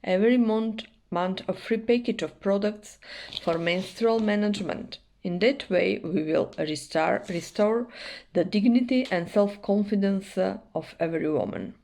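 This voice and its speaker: A woman's voice: medium pitch, measured pace, creaky, mellow. A woman, a human rights journalist, reading a prepared statement with emphatic rises on key words. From North Macedonia.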